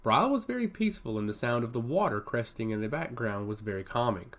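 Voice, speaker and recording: A man narrates a fantasy story from a script. His mid-pitched voice moves widely up and down, giving the storybook reading an animated lilt. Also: quick pace.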